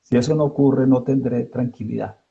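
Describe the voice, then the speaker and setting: A man who is a psychologist, speaking slowly and reflectively on a live online call, with many hesitant fillers. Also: medium pitch, fairly even intonation, creaky, dull audio. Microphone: video call.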